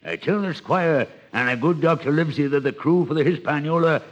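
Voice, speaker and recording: An actor playing a sly, jovial old one-legged pirate in a storybook record, wheedling and chuckling in hearty sailor talk. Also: male voice, on the high side, brisk, dull audio, lightly acted. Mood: bluff, falsely reassuring. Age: older. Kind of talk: dramatised storybook record acting.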